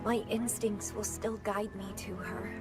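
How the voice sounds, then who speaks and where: An actress playing a proud, obsessive young woman fighter in a video game, with theatrical, deliberate delivery. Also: mid-range voice, flat delivery, loud music behind the voice, lightly acted. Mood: intense resolve. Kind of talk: dramatic video game acting.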